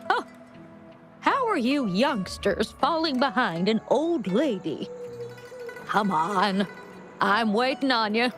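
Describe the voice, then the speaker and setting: An actress voicing a kindly, frail elderly mother in a video game, with a gentle, hesitant, unhurried manner. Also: a little high, very animated. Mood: playful chiding. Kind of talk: scripted video game voice acting. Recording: music playing behind the voice. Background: game music.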